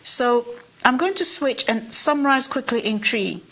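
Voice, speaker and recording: A Ghanaian woman speaks straight to her listeners in an earnest, pleading tone, her voice sitting a little high. She leans on repetition to drive each point home. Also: unhurried, pushed and bright, low-quality recording. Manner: earnest, pleading, repeats phrases for emphasis. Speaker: commentator. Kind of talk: impassioned direct-to-camera address.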